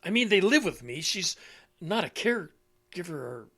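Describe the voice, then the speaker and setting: A man's voice: high-pitched, very animated, bright, forceful tone, husky, exaggerated voice. An actor playing an elderly widower with failing memory in an audio drama, meek and muddled, stammering as he hunts for words. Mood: defensive, wistful. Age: older. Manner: halting, stammering, searching for words.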